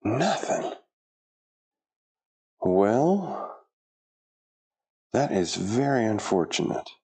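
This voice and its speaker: Middle-aged man, deep gravelly voice. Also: big pitch swings, drawn-out words, warm tone. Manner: chatty, self-talking, flustered by equipment trouble. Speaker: fitness vlogger. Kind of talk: casual workout vlog.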